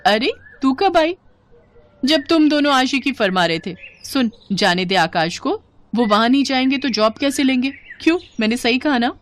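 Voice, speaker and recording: Woman acting a lively young college girl, expressive. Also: high-pitched, normal speed. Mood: playful, teasing. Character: young college girl, lovestruck, teasing, later frightened and pleading. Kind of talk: animated Hindi story dialogue.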